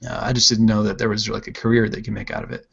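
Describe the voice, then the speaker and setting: A man hosting a casual art livestream, chatting while he draws, relaxed and rambling with plenty of filler words. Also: on the low side, rapid-fire, vocal fry, husky, highs cut off. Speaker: livestream host, digital artist.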